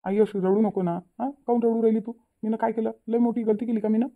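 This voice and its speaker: A woman's voice: a little high, fairly even intonation, quick pace, lightly acted. A woman voicing an apologetic young newlywed son in a cartoon family drama, pleading and explaining himself in a Vidarbha Marathi accent. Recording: tinny phone-like narrow audio.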